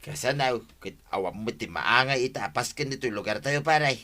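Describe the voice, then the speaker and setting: A man with an Ilocano Filipino accent playing an excitable, wheedling village buddy in an audio comedy. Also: mid-range voice, normal speed. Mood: casual.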